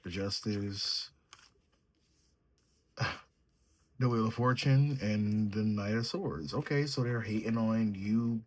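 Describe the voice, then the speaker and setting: A young man reads tarot in a mellow, sleepy manner, musing out loud over each card. His voice sits low and has a husky, breathy edge. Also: slow pace, pauses often. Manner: sleepy, mellow, thinks aloud while drawing cards. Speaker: tarot reader. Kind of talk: solo tarot reading, casual chat.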